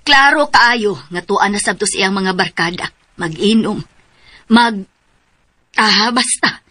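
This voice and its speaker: A woman's voice: mid-range voice, slow pace, bright, forceful tone. A woman playing a worried, protective mother in a radio drama, fretful and scolding, with a Cebuano Filipino accent. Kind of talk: Philippine radio drama acting. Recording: music behind the voice.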